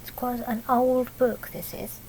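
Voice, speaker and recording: British female storyteller, higher-pitched, unhurried, teacherly, explaining things simply for small children; some background noise. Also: level tone, warm tone, rough recording. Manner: simple child-directed talk with tag questions. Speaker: primary school teacher reading a picture book aloud.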